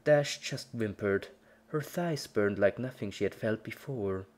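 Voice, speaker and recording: A woman's voice: extremely low pitch, unhurried, a little mellow. A woman narrating a scripted horror story as a dramatic reading.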